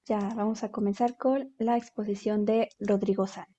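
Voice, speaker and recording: Soft-toned woman hosting a webinar. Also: mid-range voice, steady tone, normal speed, dull audio. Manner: plain, instructive, checks in with listeners. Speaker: webinar host. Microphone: video call.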